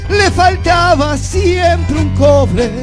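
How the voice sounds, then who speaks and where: Older Argentine tango singer on a club stage, high and unhurried, with a loud band behind him. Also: male voice. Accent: Rioplatense Spanish. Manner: dramatic tango recitation, sung and spoken. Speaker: contestant singer. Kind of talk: tango singing and recitation on a stage. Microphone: handheld mic. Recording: live music behind the voice.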